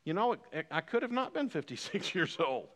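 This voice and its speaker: A man's voice: a little high, very animated, brisk, a bit bright, in a large hall. A man in his fifties preaching a sermon as pastor, building emphasis through repeated phrases. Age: 50s. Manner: preaching with rising emphatic repetition.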